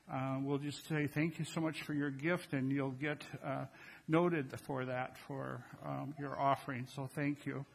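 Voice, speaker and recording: Higher-voiced older American pastor. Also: male voice, fairly bright tone, slightly rough, rough recording. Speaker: pastor leading worship. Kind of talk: church announcements and liturgy to a congregation. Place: large church sanctuary with congregation.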